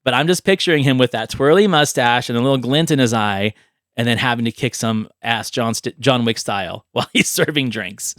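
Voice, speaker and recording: A man hosting a podcast, chatting casually about films and pitching ideas with animated, vivid storytelling. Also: fairly quick, dry recording, studio quality. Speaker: podcast host. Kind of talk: casual film chat and movie pitching.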